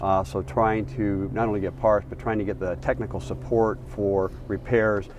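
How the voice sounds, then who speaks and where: A man's voice: on the low side, fairly even intonation, normal speed, highs cut off, noisy background. A man giving explanatory answers in an interview as a public safety official.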